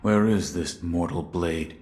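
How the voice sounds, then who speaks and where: Game role: dutiful ninja of few words, very low and solemn, heard with long reverb. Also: male voice, slow pace, a little mellow, a little hiss or hum. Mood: terse questioning. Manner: terse, solemn, restrained. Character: stoic, dutiful male shinobi warrior of few words. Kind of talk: dramatic video game voice acting. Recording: long reverberant hall-like echo.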